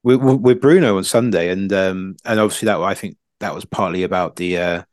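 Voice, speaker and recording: Low-voiced, halting male football pundit. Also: darkish tone, no echo, studio quality. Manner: halting, repeats words as he thinks. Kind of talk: casual football analysis.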